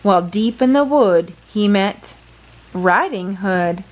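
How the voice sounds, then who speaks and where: A woman tells a children's story at an unhurried, slow pace. Her pitch sits in the middle for a woman, and she reads playfully and dramatically, with brief asides. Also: expressive. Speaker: storyteller reading a picture book aloud. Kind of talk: expressive children's read-aloud with brief asides.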